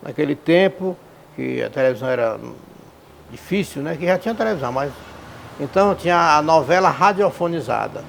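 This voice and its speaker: Man, creaky voice, emphatic delivery, repeated key words, spontaneous testimony. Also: fairly high pitch, animated, brisk, slight background noise. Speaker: interviewee.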